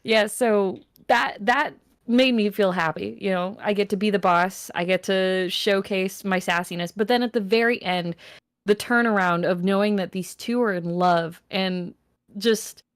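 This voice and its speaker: A woman's voice: medium pitch, unhurried, no room sound, clean studio sound. A woman chatting casually as a voice actor on a panel, telling things with exclamations and slang. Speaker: audio drama voice actor on a panel. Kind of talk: casual chat.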